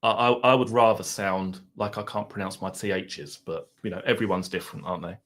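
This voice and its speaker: A man's voice: low-pitched, fairly even intonation, brisk, a bit bright. A man with an Essex accent hosting a live stream, rambling casually and chatting with his viewers. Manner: mild swearing, laid-back banter.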